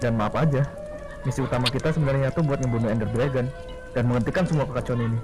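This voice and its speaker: A man plays a blunt, suspicious warrior in a game roleplay. The delivery is quick, over prominent music. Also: mid-range voice, natural voice.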